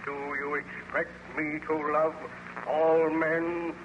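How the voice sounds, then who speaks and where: Man-made monster, wretched, theatrical, formal diction, higher-set voice, muffled vintage radio horror, loud background noise. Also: flat delivery, slow pace. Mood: bitter. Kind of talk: old-time radio horror drama acting. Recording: muffled, very noisy old radio recording.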